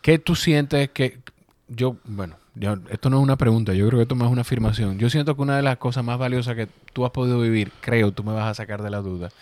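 A man's voice: mid-range voice, fast, a little mellow, no room sound. A man hosting an interview, chatting casually and giving short, supportive replies.

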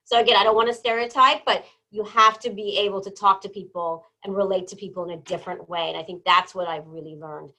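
A woman from New Jersey, a guest in a casual interview, talking in an animated, emphatic way. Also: mid-range voice, quick pace, few pauses, poor audio. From New Jersey, born in Iran. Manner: animated, emphatic, lots of "you know".

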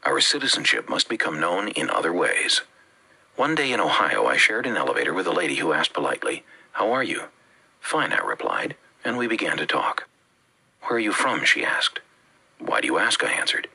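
A man from the Bahamas with a Bahamian accent, reading a religious teaching with measured, emphatic phrasing. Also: low-pitched, big pitch swings, gravelly, pushed and bright, breathy edge, poor audio. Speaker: preacher. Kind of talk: read-aloud religious teaching.

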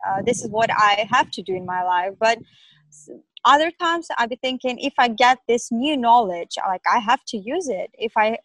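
A woman's voice: fairly high pitch, no echo, poor audio. A young woman doctor, not a native English speaker, answering interview questions in a thoughtful, hesitant way with many "like" fillers.

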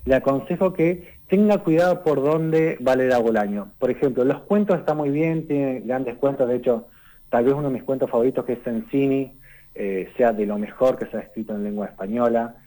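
A man, giving a conversational radio literature column and explaining things unhurriedly, often pausing on "eh" and tagging his sentences with "¿no?". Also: medium pitch, dark tone, poor audio. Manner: unhurried and explanatory, tags lines with "¿no?" and "eh".

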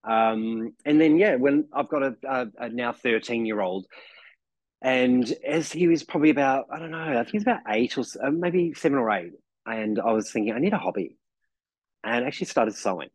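A man's voice: medium pitch, lively intonation, normal speed, dry recording, poor audio. A man in his forties with a New Zealand accent, chatting as a podcast guest and rambling with lots of "you know" and restarts. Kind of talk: casual chat.

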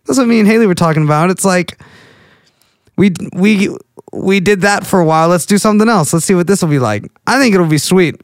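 A Texan man in his late twenties rambles along in a loose, goofy monologue, often breaking off his own sentences. His voice sits fairly high for a man and sounds smooth and clean. The recording is dry and close, with no room sound. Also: fairly quick, dry recording. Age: late 20s. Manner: goofy, rambling, self-interrupting.

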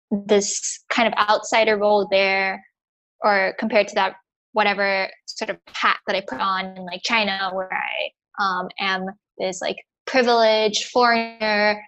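A young woman answering as a guest in an easygoing conversation. She thinks aloud as she goes, pausing often and filling the gaps with small words. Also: medium pitch, a bit bright. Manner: reflective, halting, many fillers. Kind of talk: casual interview chat.

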